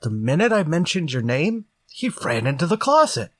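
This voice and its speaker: Animated, dim but earnest schoolboy played by a man. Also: on the high side, normal speed, no echo. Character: dim, good-natured teenage schoolboy Stretch. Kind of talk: 1940s radio sitcom acting.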